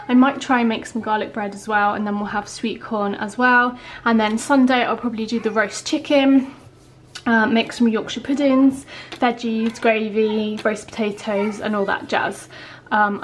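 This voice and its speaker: A woman's voice: a little high, a little hiss or hum. A woman in her thirties chatting casually through a grocery haul in her kitchen, listing items and prices. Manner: chatty, lists prices item by item. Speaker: family vlogger showing a grocery haul. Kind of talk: casual haul chat to camera. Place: home kitchen, indoors. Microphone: handheld camera filming her hands.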